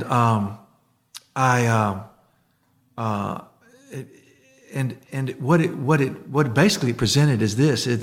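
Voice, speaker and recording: Guy rambling on a podcast, lots of restarts, trails off quiet, middle pitch. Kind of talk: casual conversational chat.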